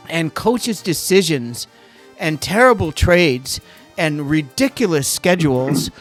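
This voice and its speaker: A middle-aged Canadian man hosts a podcast in a casual, rambling way, wandering off on tangents. His voice sits a little higher than most men's, and soft music plays faintly behind him. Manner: rambling, digressive, quick to go off on tangents. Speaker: podcast host. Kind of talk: casual chat.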